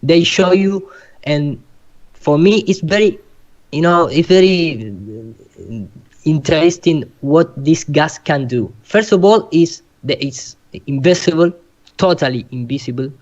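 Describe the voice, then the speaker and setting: Slow, halting man. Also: fairly high pitch. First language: Spanish. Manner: halting, searching for words in non-native English. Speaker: presenter. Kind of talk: informal explanatory presentation. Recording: dull, lo-fi online call audio.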